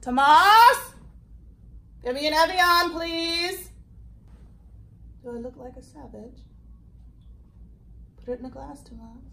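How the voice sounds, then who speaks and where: A woman playing a haughty, theatrical eighties businesswoman in a comedy sketch, prone to loud dramatic bursts. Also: high voice, expressive, slow, vocal fry, long pauses, voice pushed up, heavily acted. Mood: haughty demanding. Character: imperious, theatrical 1980s businesswoman, musical fanatic. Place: indoors in a wood-paneled den.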